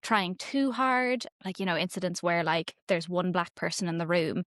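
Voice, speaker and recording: Young woman, chatty, rambling, slightly low voice, dry sound. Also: fairly even intonation, normal speed, a bit bright, no room sound. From Ireland. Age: young adult. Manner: chatty and rambling, heavy filler "like", laughs easily. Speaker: podcast interviewer. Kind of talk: casual podcast interview.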